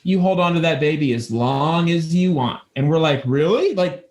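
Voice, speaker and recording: A man's voice: on the high side, expressive, moderate pace, a little mellow. A young man hosting a podcast with casual, profane banter and rambling anecdotes, in a very echoey room. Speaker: podcast host.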